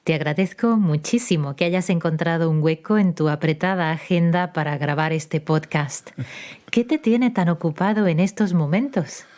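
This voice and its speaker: Low-voiced Spanish woman hosting a podcast. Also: moderate pace, a little hiss or hum. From Spain, Málaga. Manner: warm encouraging interviewer with frequent ujú backchannels. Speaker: podcast host, Spanish teacher. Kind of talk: scripted intro then interview questions.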